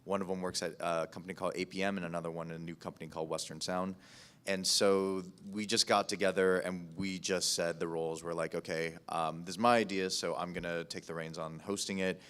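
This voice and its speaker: A man's voice: deep voice, flat delivery, a little fast, fairly bright tone, smooth. A man speaking as a podcast producer on a panel, in casual, conversational language.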